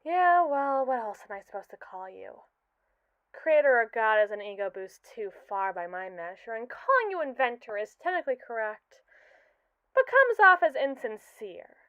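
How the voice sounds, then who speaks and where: A young woman voicing a haughty, scheming captive robot AI in a scripted roleplay, speaking in an arch, mocking and wordy way with slow, drawn-out emphasis. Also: a little high, big pitch swings, no echo, narrow, tinny audio. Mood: sardonic. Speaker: ASMR roleplay performer. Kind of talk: scripted ASMR roleplay monologue.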